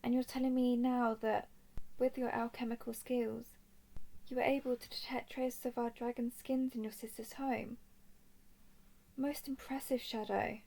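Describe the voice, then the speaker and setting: Noble dragon lady, played by a woman: solemn, earnest, soft-spoken, flat melody, touch of creak, intimate roleplay monologue. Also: fairly high pitch, rough recording. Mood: curious, realizing. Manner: formal, measured, earnest speech. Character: noble female dragon of a ruling house, gentle, solemn.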